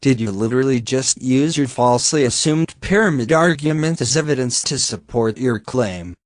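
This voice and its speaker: A man's voice: medium pitch, expressive, moderate pace, no echo. Sarcastic Finnish man, dry close voice. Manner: sarcastic, blunt, swears. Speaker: commentator reacting to a video. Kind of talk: mocking reaction commentary.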